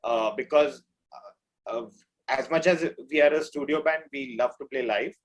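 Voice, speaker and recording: A man's voice: mid-range voice, moderate pace, rough recording. A man speaking as an interview guest, explaining things in an informal, conversational way. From India. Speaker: band drummer, interview guest. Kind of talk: casual interview chat.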